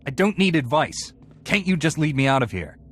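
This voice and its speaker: An actor playing a hot-tempered, vengeful Jedi warrior with a blunt, assertive manner in a game. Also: male voice, on the high side, very animated, normal speed, a bit bright, a little hiss or hum. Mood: shaken, defiant.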